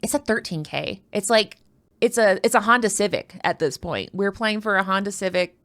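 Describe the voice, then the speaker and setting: A woman's voice: mid-range voice, moderate pace, a bit bright. A woman from the United States giving casual, playful live commentary on a card tournament, chatting and rambling into asides.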